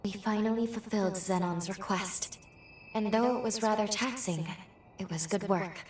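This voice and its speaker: A woman playing an earnest, dutiful young companion in a video game, speaking in a measured, formal and sincere way. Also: mid-range voice, reverberant, far from the mic, background noise, natural voice. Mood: relieved, resolute. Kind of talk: video game cutscene acting.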